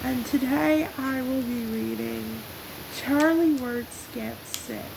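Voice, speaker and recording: A performer voicing a female racing driver who reads a children's story aloud in deliberate, spaced-out phrases, on a hissy recording. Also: high voice, gravelly, voice pushed up, exaggerated voice. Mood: presenting, measured. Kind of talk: scripted story narration with self-introduction. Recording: hissy, noisy home recording.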